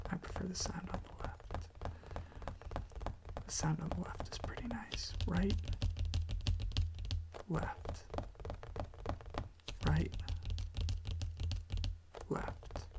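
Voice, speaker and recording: A soft, whispery voice with very heavy vocal fry, recorded close on an ASMR microphone. The delivery is casual and meandering, with quiet enthusiasm. The pitch sits in an androgynous middle range. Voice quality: whispery, breathy with creaky fry. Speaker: ASMR video creator. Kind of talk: soft-spoken ASMR, rambling music talk.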